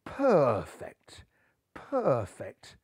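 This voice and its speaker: A man's voice: medium pitch, wildly swooping pitch, very slow, darkish tone, slightly rough, no room sound, clean studio sound, full character voice. Man as a self-satisfied old-club chairman cat. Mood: smug. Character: confident, teasing cat team chairman.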